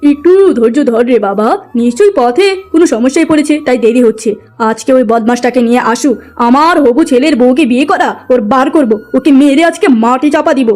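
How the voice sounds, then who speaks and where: Woman putting on a bullying landlord, mellow high voice. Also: moderate pace, faint music behind, natural voice. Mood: scheming. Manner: mocking, threatening. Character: bullying, greedy middle-aged male village landlord. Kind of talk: cartoon moral story acting.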